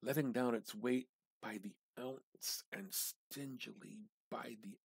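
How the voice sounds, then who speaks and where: A man gives a dramatic reading of literary fiction, deliberate and intense, halting for dramatic pauses. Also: mid-range voice, vocal fry, husky, no room sound. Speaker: amateur reader.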